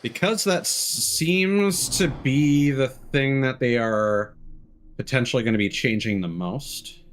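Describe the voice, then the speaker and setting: A man's voice: medium pitch, takes it slowly. A man live-streaming a video game, giving casual commentary and thinking aloud in short, unscripted remarks.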